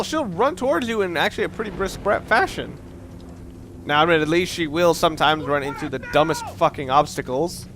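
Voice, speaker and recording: A man giving casual, opinionated gameplay commentary, rambling and halting as he goes, with casual swearing. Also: fairly high pitch, fairly bright tone, a little hiss or hum. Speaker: let's play gamer commentator.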